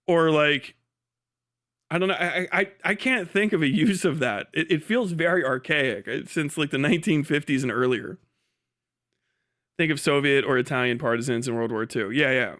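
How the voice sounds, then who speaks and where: A man's voice: fairly high pitch, moderate pace, fairly bright tone. A man giving casual live-stream commentary in a blunt, rambling, profanity-laden way. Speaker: streamer reacting to videos.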